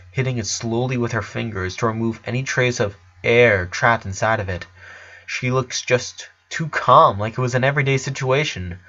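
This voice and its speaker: A man narrates a written story in a bright, forceful voice at medium pitch. His reading is halting, with occasional stumbles and repeats. The recording sounds a bit dull and lo-fi. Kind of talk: scripted fan fiction narration.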